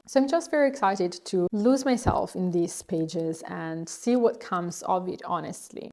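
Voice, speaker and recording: A woman's voice: mid-range voice, a little mellow. A young woman from Italy with an Italian accent, chatting enthusiastically as a book vlogger recommending books. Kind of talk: casual book recommendation vlog.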